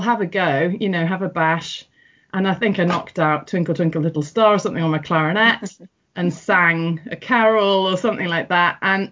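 A middle-aged woman from North West England, talking through her life in a relaxed, reflective interview with frequent ums and restarts. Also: on the low side. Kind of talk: personal interview. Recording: muffled, dull audio.

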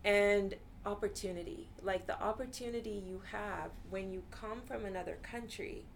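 A woman's voice: medium pitch, moderate pace, vocal fry, a little hiss or hum. A woman therapist whose first language is Urdu, talking earnestly in a casual interview and often saying "you know.".

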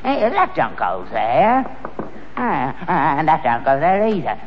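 An actor playing a crotchety old rag-and-bone father, gruff and taunting, in a 1960s radio sitcom. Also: a little high, sing-song, a little slow. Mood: smug. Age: older. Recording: dull old radio recording with long reverb and faint hiss.

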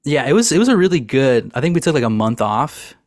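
A man's voice: medium pitch, very animated, quick pace, no echo, studio quality. A man hosting a podcast in a laid-back, joking chat, often laughing and saying "dude". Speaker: podcast host. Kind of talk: casual chat.

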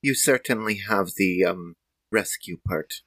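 A man's voice: deep voice, a little slow, a bit bright, no room sound. A performer playing a polite, reserved female doctor in a sci-fi tabletop roleplay, with formal, hesitant wording. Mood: polite, earnest. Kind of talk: improvised in-character tabletop roleplay.

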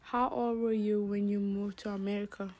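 Mellow-voiced teenage girl interviewer. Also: medium pitch, little pitch movement, moderate pace. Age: teens. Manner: plain, reads short questions. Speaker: student interviewer. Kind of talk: school podcast interview.

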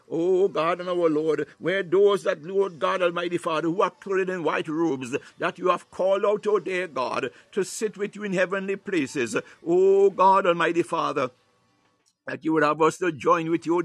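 A man's voice: sits high, creaky, fairly bright tone, no echo. A man leading fervent, unscripted prayer and preaching, declaiming scripture with repeated devotional interjections. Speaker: prayer leader.

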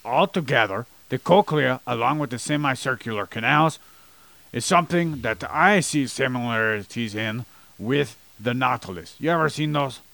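A man's voice: medium pitch, moderate pace, gravelly, pushed and bright, dry recording. A man co-hosting a casual anatomy podcast, teasing and playfully combative with his co-host. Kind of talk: casual chat about anatomy.

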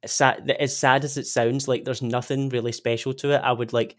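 A man's voice: medium pitch, little pitch movement, a little fast. A Scottish man chatting casually as a podcast guest, self-deprecating and given to playful exaggeration. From Scotland. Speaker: podcast guest, meme account creator. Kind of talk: casual chat.

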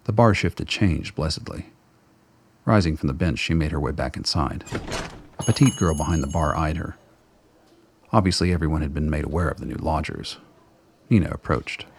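A man's voice: rumbling low, moderate pace, long pauses, breathy edge. A man reading scripted narration for a radio drama as its narrator.

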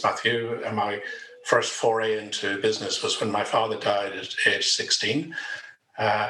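A man's voice: on the low side, bright, forceful tone, reverberant, poor audio. A middle-aged Irish man chatting as a podcast guest, rambling with frequent ums and uhs. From Ireland. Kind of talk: conversational interview.

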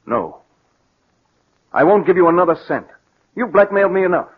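A man's voice: sits high, animated, normal speed, husky. A man acting a guilt-haunted, suspicious and insistent man in a 1940s radio drama. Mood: bitter. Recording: muffled vintage 1940s radio recording.

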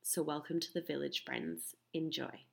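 A woman hosting a podcast, reading a soft scripted intro and then asking eager, friendly questions. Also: deep voice, big pitch swings, normal speed, vocal fry. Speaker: podcast host. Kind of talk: podcast interview.

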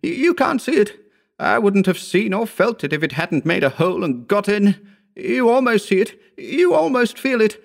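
A man's voice: sits high, sing-song, moderate pace, vocal fry, voice pushed up, heavily acted. A man voicing a deranged, terrified man who babbles in frantic, sobbing despair, as in a dramatic horror audiobook. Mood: feverish horror.

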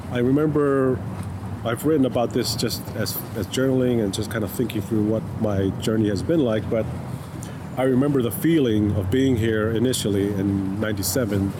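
A man in his thirties from Tonga, whose first language is Tongan, speaking thoughtfully in an interview and often restarting his sentences. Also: fairly low pitch. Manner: thoughtful, searching, many restarts. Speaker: artist and guest. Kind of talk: reflective conversational interview. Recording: noisy background.

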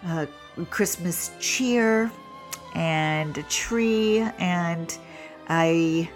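A woman's voice: fairly low pitch, very slow, faint music behind. A middle-aged woman with a stuffy nose, co-hosting a relationship show in casual, chatty talk. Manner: chatty, laughs easily. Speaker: co-host. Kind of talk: casual chat.

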